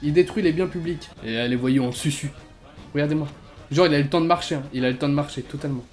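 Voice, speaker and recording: Excitable young man reacting with slang. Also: fairly high pitch, quick pace, soft background music. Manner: excitable, laughing, heavy street slang. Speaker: YouTuber. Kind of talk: reaction video commentary.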